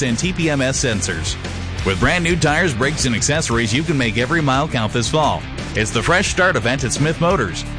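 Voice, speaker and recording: A male announcer reads a scripted dealership commercial. His voice sits at a medium pitch, with guitar music clearly playing behind it.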